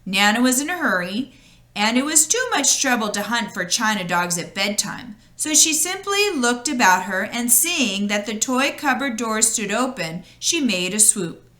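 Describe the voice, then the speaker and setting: A woman's voice: fairly high pitch, expressive, normal speed, bright, forceful tone. A woman storyteller reading a children's storybook aloud with expression.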